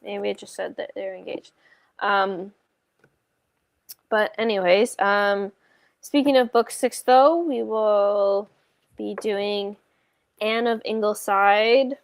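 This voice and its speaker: A woman's voice: medium pitch, steady tone, slow pace, pauses often, no echo. A woman hosting a casual book discussion on a video call, talking in a hesitant, rambling way with lots of "like". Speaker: book club livestream host.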